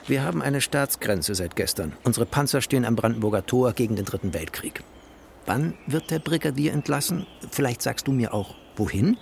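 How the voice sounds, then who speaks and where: A man's voice: mid-range voice, animated, a little fast, vocal fry, a little hiss or hum. An actor playing an embattled, stubborn construction boss in a radio play, emphatic and ironic in his rhetoric. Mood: defiant. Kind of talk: stylised radio play dialogue.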